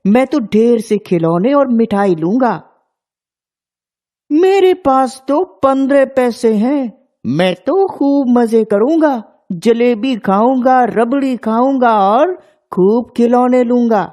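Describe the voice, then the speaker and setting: Woman voicing an eager, slow-spoken young village boy. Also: on the high side, expressive, mellow. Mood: excited, boasting. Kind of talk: cartoon children's story acting.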